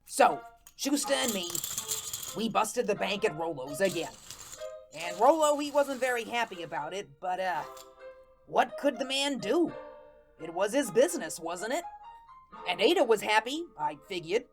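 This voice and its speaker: A woman's voice: mid-range voice, sing-song, normal speed, faint music behind. Woman playing a naive, slangy good-natured ghost fellow. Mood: chatty, guileless. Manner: folksy, colloquial, guileless storytelling. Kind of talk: first-person radio-play narration.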